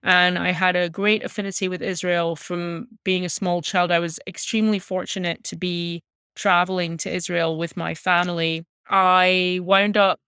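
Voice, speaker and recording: Female interview guest, thirties, Scottish accent, earnest and articulate storyteller, crisp tone, close dry sound. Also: on the low side, a bit bright, no echo. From Glasgow, Scotland. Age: 30s. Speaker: interview guest, music journalist. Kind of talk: reflective personal storytelling in an interview.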